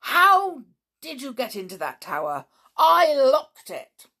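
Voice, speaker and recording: A woman's voice: fairly low pitch, big pitch swings, measured pace, full character voice. A woman voicing an irritable, absent-minded middle-aged male scientist who is vague one moment and furious the next. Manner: peevish and vague, bursting into fury.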